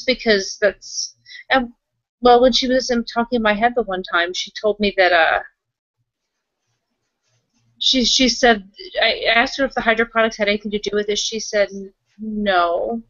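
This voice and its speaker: A woman improvising roleplay conversation, thinking aloud haltingly and often repeating her phrases. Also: on the high side, a bit bright, dry recording, lo-fi sound. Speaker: tabletop roleplaying player and game runner.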